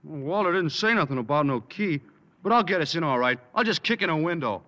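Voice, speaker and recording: Dopey, earnest schoolboy. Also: male voice, on the high side, animated, pushed and bright, dull audio, slight background noise. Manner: slow-witted, earnest, fumbling. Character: dim-witted good-natured teenage schoolboy. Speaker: student. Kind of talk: 1950s radio sitcom acting.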